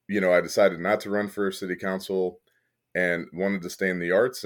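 A deep-voiced man from Kentucky, a podcast guest who leads an arts organization, talking thoughtfully with plenty of fillers and restarts. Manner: thoughtful, many fillers and restarts, occasional laughs. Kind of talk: casual interview conversation.

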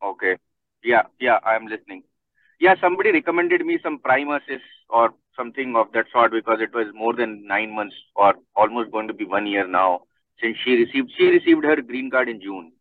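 A man's voice: mid-range voice, normal speed, no echo. A man calling in with questions, speaking over a phone connection. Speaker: caller. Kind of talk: asking questions on a conference call. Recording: tinny, dry phone line.

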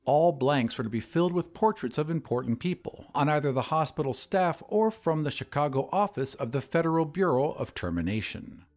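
A man's voice: on the high side, expressive, brisk, few pauses, darkish tone, faint music behind. A man hosting a storytelling podcast, reading scripted narration. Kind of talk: scripted audiobook-style narration.

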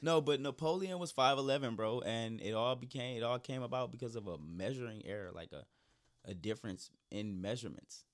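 A man in casual comedic chat whose voice is going hoarse, riffing loosely and cracking profane jokes. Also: mid-range voice, animated, brisk. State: losing his voice.

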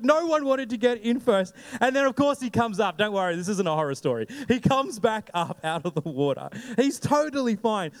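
A young man with an Australian accent, preaching a sermon and telling a lively story, often asking the congregation questions. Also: sits high, long reverb tail. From Queensland, Australia. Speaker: pastor.

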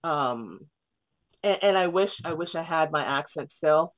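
A woman's voice: deep voice, animated, measured pace. A woman guest in a casual radio studio interview, chatty and full of fillers and restarts. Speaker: theater artist and guest.

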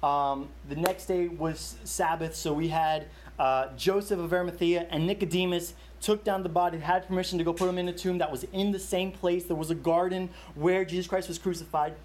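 A young man with a slightly high voice leads a Bible study in an easygoing, chatty way. He stumbles through restarts and fillers as he tells a family story and walks through a passage, with a faint hum of ambience behind him. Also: steady tone, a little fast, few pauses, a little mellow. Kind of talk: casual sermon storytelling.

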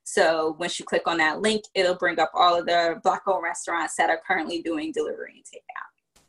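A woman's voice: lowish voice, steady tone, fairly quick, a bit bright, breathy edge, poor audio. A woman from Virginia, a business founder chatting as a podcast guest and explaining things step by step with plenty of fillers. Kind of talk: casual podcast interview.

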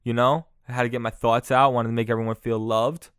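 A young man rants alone into his podcast mic, cursing, mocking, and tripping over his own restarts. His voice sounds smooth and clear, and the recording is close and dry, with no room sound. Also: mid-range voice, level tone, fairly quick, no room sound. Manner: profane, mocking, rambling with many restarts. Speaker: podcast host. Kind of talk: solo comedic rant.

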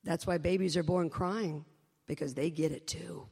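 A woman's voice: low voice, steady tone, moderate pace, gravelly, darkish tone, breathy edge, pitched below the performer's own voice, full character voice. A woman voicing a goofy, tactless middle-aged male doctor who blurts out jokes and gloomy musings, heard in a live hall. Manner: blurting, jokey, then gloomy. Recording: long hall reverb.

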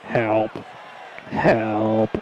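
Man as slow monotone robotic life-support boy. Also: fairly low pitch, a faint crowd. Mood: pained. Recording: dull, narrow sound with faint noise.

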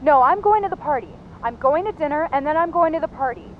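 A woman's voice: high-pitched, expressive, fast. An actress playing a confrontational, accusing young girlfriend over the phone in an audio drama. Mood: bitter, confrontational. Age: young adult. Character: angry, demanding young girlfriend being let down. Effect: phone call voice. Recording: muffled phone line, noisy street.

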